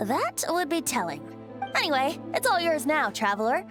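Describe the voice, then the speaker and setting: A woman's voice: sits high, sing-song, slow, music in the background, full character voice. A woman voicing a playful, teasing young male bard in a video game.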